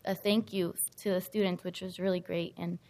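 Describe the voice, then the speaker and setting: A teenage young woman, a high school student, giving an earnest prepared speech in public. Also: flat delivery, moderate pace, darkish tone. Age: teens.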